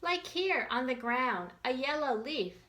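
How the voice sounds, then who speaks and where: A woman leads a children's storytime with a bright, slightly high voice. She takes her time over short, simple phrases. She speaks straight to young listeners, adding small questions and asides as she reads. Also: sing-song, unhurried. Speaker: children's librarian storytime host.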